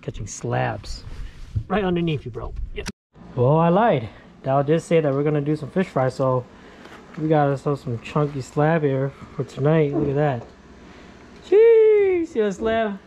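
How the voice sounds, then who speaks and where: A young man hosting a fishing vlog from a boat on a lake, excitable and chatty, often shouting when a fish bites. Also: fairly high pitch, a little slow, a little hiss or hum. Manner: excitable, shouts on catches, says dude and bro a lot. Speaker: fishing channel host. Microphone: action camera worn on head.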